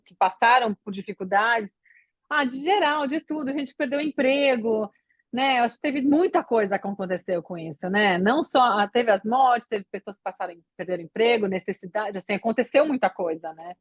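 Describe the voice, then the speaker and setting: A woman's voice: a little high, dry recording, highs cut off. A woman from Brazil, a podcast guest, chatting casually and rambling with many fillers and restarts. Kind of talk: casual interview chat.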